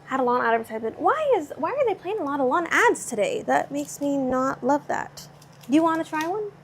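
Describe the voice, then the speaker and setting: Young Californian woman, bubbly and high-pitched, live-stream cooking host chatting to viewers from her kitchen, faint room hum behind her. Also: very animated, fairly quick. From Southern California. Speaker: vlogger cooking live and answering chat. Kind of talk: casual live-stream chat. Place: home kitchen.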